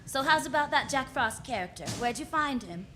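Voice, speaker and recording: A woman's voice: high voice, lively intonation, moderate pace, bright, forceful tone, soft background music. A woman playing a wry, flirtatious, self-assured private eye in the style of 1940s radio drama, full of slangy quips. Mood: teasing. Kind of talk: 1940s radio drama acting with first-person narration.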